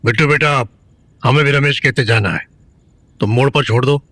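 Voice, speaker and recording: A man's voice: mid-range voice, brisk, fairly bright tone, dry recording, natural voice. An actor playing a plain-spoken, familiar older family man in an audio drama. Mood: matter-of-fact.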